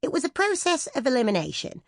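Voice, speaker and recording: A woman's voice: high-pitched, sing-song, fast talker, no echo, clean studio sound. A woman reading a scripted first-person memoir as an audiobook narrator.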